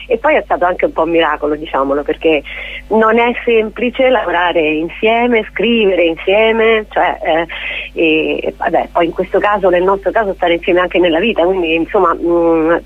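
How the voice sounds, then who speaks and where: A woman's voice: on the low side, breathy edge, no echo. Woman, thoughtful, dry tinny phone sound. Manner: reflective, steady with some fillers. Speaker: theatre artist, interview guest. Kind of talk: radio phone interview.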